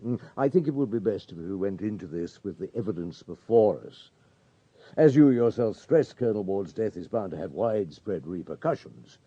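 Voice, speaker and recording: An actor playing a shrewd, courteous police superintendent in a radio drama, polite, measured and insistent. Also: male voice, fairly low pitch, expressive, dark tone, slightly rough, muffled recording. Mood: courteous, pressing.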